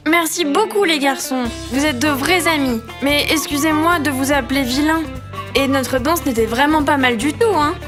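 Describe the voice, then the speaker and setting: A woman's voice: high voice, moderate pace, over music, close to an everyday voice. A woman voicing a vain, bossy young girl train in a children's cartoon, boastful and easily upset. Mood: grateful. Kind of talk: children's cartoon dub acting.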